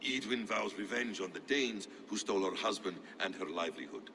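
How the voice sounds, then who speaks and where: An actor playing a calm, courtly, wry traveler in a dramatic game cutscene, speaking formally. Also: male voice, sits low, fairly quick, slight background noise, heavily acted. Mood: wry, composed.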